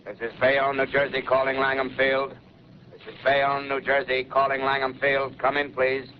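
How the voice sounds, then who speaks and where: An actor playing a radio operator in a 1930s radio drama, relaying reports in a flat voice. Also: male voice, mid-range voice, moderate pace, noisy background, close to an everyday voice. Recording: lo-fi old radio broadcast.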